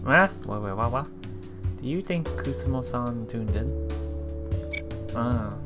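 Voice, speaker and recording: Guy doing a visual novel lets play, mid pitched voice, reads lines out and stumbles a bit, soft music under. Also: animated. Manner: halting, repeats words and corrects himself. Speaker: let's player. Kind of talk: reading a visual novel aloud with casual commentary.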